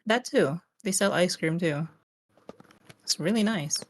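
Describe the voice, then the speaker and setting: A man with a Filipino English accent and a naturally high-pitched voice, chatting playfully with his raid group over a voice call. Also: measured pace. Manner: playful, teasing, laughs often. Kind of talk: casual voice chat while gaming.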